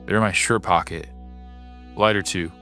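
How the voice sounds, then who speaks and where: An actor playing a nervous, wheedling small-time crook held captive in a radio drama. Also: male voice, low-pitched, steady tone, moderate pace, bright, forceful tone, soft background music. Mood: nervous pleading.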